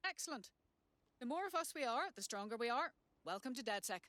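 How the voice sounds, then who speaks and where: A woman playing a guarded, determined resistance member in a video game, brisk and sceptical. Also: a little high, expressive, fairly bright tone, no echo. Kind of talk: video game cutscene acting.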